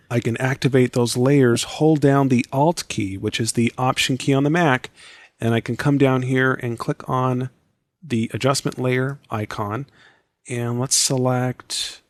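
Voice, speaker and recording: A man with a scratchy, worn voice, talking viewers through a screen-recorded software tutorial step by step in a relaxed, chatty way. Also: medium pitch, a little slow, no echo. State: scratchy, losing his voice.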